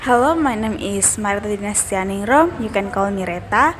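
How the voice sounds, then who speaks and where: A woman's voice: mid-range voice, lively intonation, moderate pace, background noise. A young woman in her late teens, a nursing student guest, answering hesitantly with many fillers. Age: young adult.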